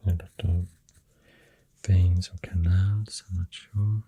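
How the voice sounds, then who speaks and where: Swedish accent, ASMR host, hushed and breathy, often whispering, very flat intonation, slow hesitant musing, close mic, drawing sounds. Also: sits low, a little hiss or hum. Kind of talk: soft-spoken ASMR rambling. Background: pencil drawing and clicking sounds.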